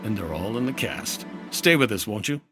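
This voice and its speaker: A man's voice: on the low side, moderate pace, natural voice. Man acting a terse hard-boiled radio detective over music. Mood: dry, matter-of-fact. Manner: terse, deadpan. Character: hard-boiled male freelance insurance investigator, dry and unflappable. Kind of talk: 1950s radio detective acting, clipped noir narration.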